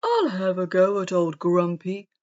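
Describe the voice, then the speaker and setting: A woman voicing a plucky, scheming male hedgehog in a children's story, loud and determined. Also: on the low side, sing-song, measured pace, highs cut off. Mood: bold scheming.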